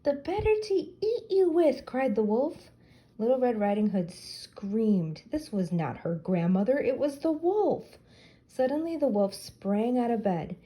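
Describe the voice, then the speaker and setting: A woman's voice: on the high side, animated, unhurried, warm tone. An older woman reading a children's story aloud as a storyteller for young listeners.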